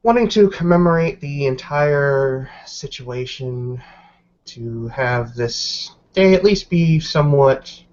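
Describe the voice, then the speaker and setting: A man hosting and narrating a tabletop roleplaying game as game master, in a measured, formal storytelling manner. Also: mid-range voice, expressive, darkish tone. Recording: slightly dull lo-fi mic.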